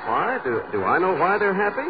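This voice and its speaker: Flustered, lively radio sidekick. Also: male voice, high voice, a little fast. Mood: puzzled. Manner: hesitant, stammering. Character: genial, flustered sidekick to a comedian. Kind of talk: 1940s radio comedy acting. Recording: noisy muffled old radio recording.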